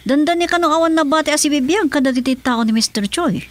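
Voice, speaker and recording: An actress playing an inquisitive, expressive woman in a radio serial drama. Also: high-pitched, fast talker, close to an everyday voice. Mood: curious, concerned.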